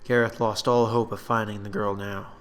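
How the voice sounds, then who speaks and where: A man reading his own fantasy story aloud in an expressive, dramatic narration. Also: lowish voice, moderate pace, clean tone. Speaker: author reading own book.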